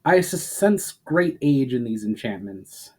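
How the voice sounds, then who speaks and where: A man voicing a grave, watchful elf warrior-mage in a storybook reading, speaking formally, grimly and deliberately. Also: mid-range voice, very animated, dark tone, breathy edge, exaggerated voice.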